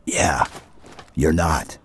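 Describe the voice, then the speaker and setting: A man's voice: very deep voice, extremely slow, fairly bright tone, husky, heavily acted. An actor playing a stoic armored male super-soldier who speaks in terse, grim words.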